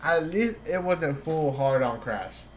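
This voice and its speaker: A man's voice: fairly high pitch, expressive, slow pace, a little hiss or hum. A man streaming a video game, casually reading dialogue aloud and commenting, sometimes stumbling over the lines.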